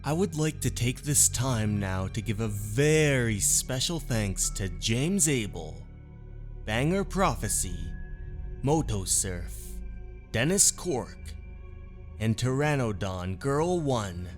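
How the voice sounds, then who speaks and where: A man's voice: mid-range voice, sing-song, slow, soft background music. A man hosting a narration channel, speaking a scripted outro to his viewers.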